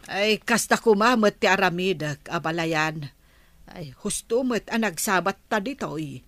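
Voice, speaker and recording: A woman acting an older Filipino mother in a radio drama, patient, concerned and gently scolding, with a Filipino accent. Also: mid-range voice, animated, measured pace, dry recording. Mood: worried.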